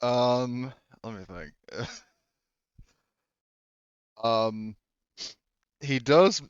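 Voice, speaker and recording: Clear-voiced man running a tabletop game. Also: mid-range voice, no echo, lo-fi sound. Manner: halting, thinking aloud. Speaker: game master. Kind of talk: casual tabletop game narration.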